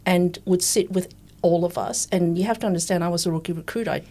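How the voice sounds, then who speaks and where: A woman's voice: fairly low pitch, quick pace, dark tone. A woman in her fifties, a recruitment coach chatting candidly as a podcast guest and acting out the remarks she quotes. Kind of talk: conversational storytelling.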